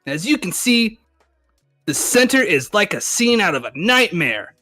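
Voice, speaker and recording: A man's voice: sits high, sing-song, a little slow, bright, forceful tone, soft background music, voice pushed up, heavily acted. A man voicing a rattled male reporter giving a dramatic live report from a disaster scene. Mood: shaken, alarmed.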